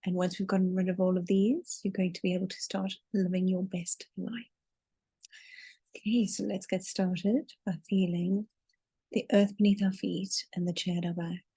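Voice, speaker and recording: A woman leading a guided meditation in a soothing, deliberate way, drawing out her words as she narrates. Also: mid-range voice, flat delivery, mellow, husky, dry recording. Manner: soothing, deliberate, with long drawn-out counting.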